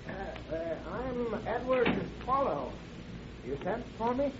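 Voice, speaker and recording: An actor playing a nervous, fussy, deferential small-town druggist in an old radio drama, hesitant and stammering, repeating himself. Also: male voice, extremely high pitch, big pitch swings, slow pace, full character voice. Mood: flustered, eager. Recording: dull, noisy old radio recording.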